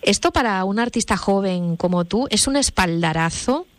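A woman hosting a radio interview, reading a scripted intro and asking clear, composed questions. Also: mid-range voice, lively intonation, moderate pace, no echo.

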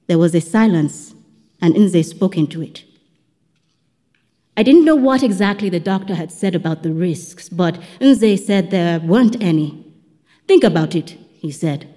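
A woman's voice: medium pitch, dark tone, big-room sound. A woman reading a first-person literary story as a scripted narration. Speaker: audiobook narrator.

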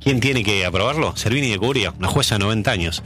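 A man's voice: lowish voice, expressive, fast talker, sharp tone, faint music behind. A man with an Argentine accent, co-hosting a casual live political chat as a commentator.

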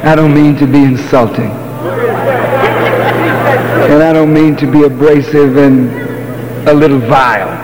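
A man preaching a fiery sermon, scolding his listeners and rising into shouts, with call and response. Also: on the high side, measured pace, long pauses, a crowd in the background. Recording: long hall reverb, steady hum.

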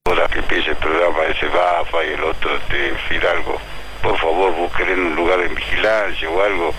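A man with an Argentine accent complaining in a blunt, exasperated, casual way, with a gravelly voice. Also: very deep voice, steady tone, moderate pace, sharp tone, a little hiss or hum, rough recording.

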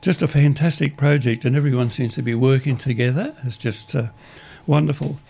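An Australian man hosting a community radio show, with a croaky voice today, rambling through announcements with frequent restarts in an upbeat way. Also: medium pitch, fairly even intonation, brisk, dark tone.

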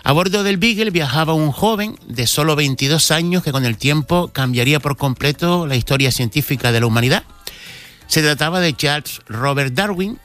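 A man's voice: a little high, animated, moderate pace, a bit bright. A man, a cultural commentator telling a local history story on a radio segment, with frequent restarts.

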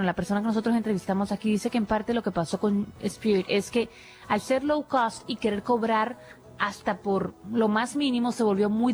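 A woman's voice: fairly high pitch, a little mellow. A woman with a Dominican Spanish accent, co-hosting a morning show in casual chat. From raised in the Dominican Republic. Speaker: co-host.

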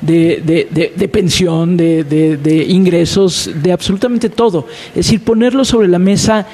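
A woman's voice: lowish voice, unhurried, dark tone, in a large hall. A Mexican woman in her fifties hosting a broadcast interview, talking conversationally with fillers and repeated words. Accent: Mexican Spanish. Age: 50s. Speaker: host.

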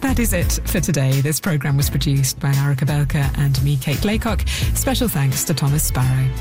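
A woman hosting a radio news program, presenting in a crisp, lightly wry way. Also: low-pitched, expressive, brisk, over music.

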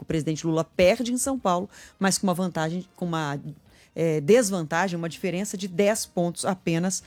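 A woman from Minas Gerais, Brazil, co-hosting a live news radio show, chatting and joking between reports. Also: fairly low pitch. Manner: chatty, joking, animated asides. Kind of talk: casual live news chat.

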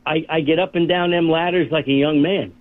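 Older man in his eighties, caller, higher voice, phone-like sound, chatty storyteller. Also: fairly even intonation, fairly quick. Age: 80s. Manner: chatty storyteller, laughs at his own tales. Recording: phone line.

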